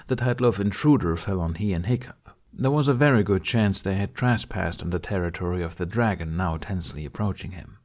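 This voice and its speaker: Low-pitched male audiobook narrator. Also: darkish tone. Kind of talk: scripted fantasy story narration.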